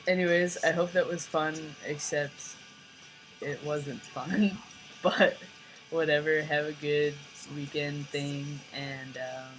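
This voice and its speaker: A young man with a light, fairly high voice talking in a rambling, casual vlog, full of "like" and "you know". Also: fairly even intonation, slow pace, a bit bright, faint music behind, poor audio. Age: young adult. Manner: rambling, filler-heavy, lots of "like" and "you know". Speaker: vlogger.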